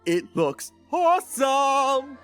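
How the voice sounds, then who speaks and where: A woman's voice: high voice, very animated, drawn-out words, fairly bright tone, faint music behind, heavily acted. A woman voicing a dim, breezily self-assured cartoon guy in a casual, oblivious manner.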